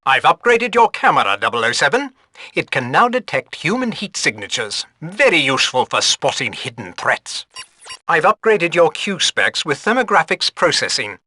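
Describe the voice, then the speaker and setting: An actor playing a fussy British gadget master in a spy video game, speaking formally in a clipped, dryly exasperated way. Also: male voice, a little high, very animated, moderate pace, sharp tone, exaggerated voice. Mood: brisk, instructive. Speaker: mission support quartermaster. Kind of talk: video game mission briefing voice acting.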